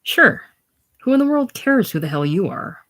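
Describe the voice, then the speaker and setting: A reader voicing a gruff old farmer who mocks and jeers loudly. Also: mid-range voice, very animated, normal speed, voice pushed up. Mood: mocking. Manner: loud, teasing, contemptuous. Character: gruff old Irish farmer, mocking and jeering.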